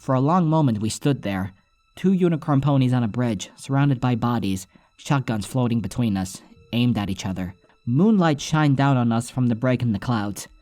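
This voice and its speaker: A man's voice: medium pitch, lively intonation, normal speed, warm tone, no echo. A man reading scripted first-person story narration as an audiobook narrator.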